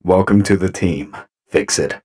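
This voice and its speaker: An actor playing a calm, courteous robot commander in an audio drama, measured in manner. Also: male voice, sits low. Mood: courteous, commanding.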